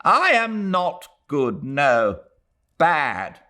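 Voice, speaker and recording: A man's voice: fairly high pitch, wildly swooping pitch, extremely slow, pushed and bright, voice pushed up, full character voice. A man voicing an irritable, weary old Englishwoman from a novel, disdainful and brooding, with a rambling, emphatic delivery. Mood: bitter, brooding. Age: older.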